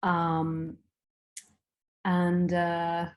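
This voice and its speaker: A woman's voice: lowish voice, monotone, extremely slow, muffled recording. A woman with an Irish accent, a guest on a casual interview, talking with many ums and restarts. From Ireland. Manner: hesitant, many ums and restarts, laughs easily.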